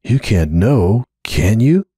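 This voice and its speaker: A man voicing an overwhelmed young male pony in a dramatic story reading, a stunned new father with a breathy, unsteady, halting voice. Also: fairly low pitch, sing-song, mellow, no room sound, studio quality, exaggerated voice. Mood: shaken, awed. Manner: halting, stammering.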